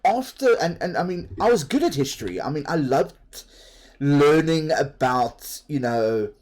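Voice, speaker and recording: A man's voice: on the high side, lively intonation, a little slow, a little mellow. A man from South Africa with a South African accent, chatting in a rambling way while streaming a game and laughing easily. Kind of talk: casual chat while playing a game.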